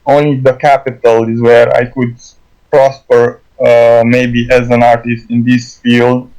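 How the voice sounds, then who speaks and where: A man with a Romanian accent speaks at a middling pitch as a guest in a casual conversation. He halts often, stuttering and restarting as he searches for the words. His first language is Romanian. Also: little pitch movement, a little mellow, highs cut off. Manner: halting, stuttering with many restarts. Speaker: guest artist.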